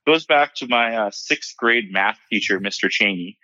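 A man who grew up in Hawaii answers podcast questions in a measured, easygoing way, letting dry humor slip in. His voice sits low but sounds bright and forward. The dry recording is a touch muffled and lo-fi. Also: dry recording. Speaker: podcast guest, self-storage appraiser. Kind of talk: casual interview answers. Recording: slightly dull lo-fi audio.

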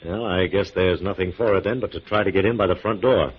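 An actor playing a confident crime-fighting hero with brisk, assured 1940s radio delivery. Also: male voice, deep voice, breathy edge. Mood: assured, questioning. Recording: muffled, noisy 1940s radio recording.

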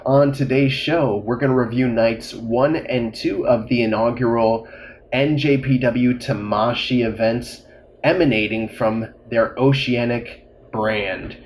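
A man's voice: mid-range voice, a little hiss or hum. A man hosting a solo podcast, a little congested and slightly nasal, talking casually and rambling with frequent fillers. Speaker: podcast host.

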